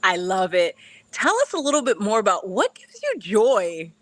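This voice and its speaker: A woman's voice: medium pitch, big pitch swings, normal speed, fairly bright tone. A woman hosting a podcast, asking short questions and giving encouraging responses to her guest. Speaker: podcast host. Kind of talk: interviewing with short prompts.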